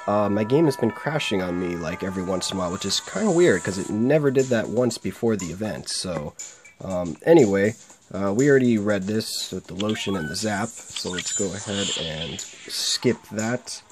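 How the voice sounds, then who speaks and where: A young man with a sore throat chatting casually through a game, reading its lines aloud. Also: lowish voice. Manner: chatty, laughs while reading. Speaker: game let's play host. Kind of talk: casual gameplay commentary, reading dialogue aloud. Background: faint game music.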